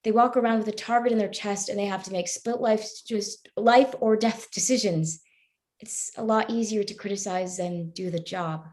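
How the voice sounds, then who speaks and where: A woman's voice: mid-range voice, steady tone, moderate pace, a little mellow, close to an everyday voice. A woman playing a morally troubled woman who questions a cop earnestly and persistently in a live play reading. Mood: conciliatory.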